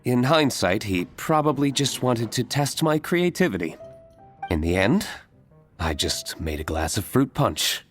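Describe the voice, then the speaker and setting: A man's voice: mid-range voice, big pitch swings, unhurried. An actor playing a reserved, polite young nobleman in a video game, composed and understated. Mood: wistful, reflective. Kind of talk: scripted video game voice acting. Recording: game audio with music behind.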